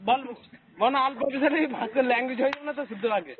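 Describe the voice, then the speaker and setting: A man's voice: very high voice, rapid-fire, a crowd in the background. A man making a casual, teasing prank call in a cheeky, slangy, repetitive way. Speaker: prank caller. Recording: noisy, tinny phone-quality audio.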